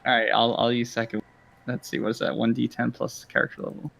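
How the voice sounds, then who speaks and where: A man's voice: mid-range voice, level tone, fast, creaky, fairly bright tone. A man talking casually as a player in a tabletop role-playing game.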